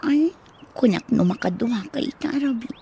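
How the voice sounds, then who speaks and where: A woman's voice: on the high side, expressive, brisk, dark tone, slight background noise. A woman playing a lovesick, fretful young girlfriend in a radio drama, with a plaintive, emotional delivery. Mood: tender. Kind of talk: Ilocano radio drama acting.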